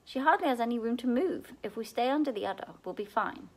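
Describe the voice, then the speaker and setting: A woman's voice: fairly high pitch, lively intonation, quick pace, pitched above the performer's own voice. A woman voicing a practical, determined young girl giving urgent instructions in a storybook adventure. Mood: reasoning.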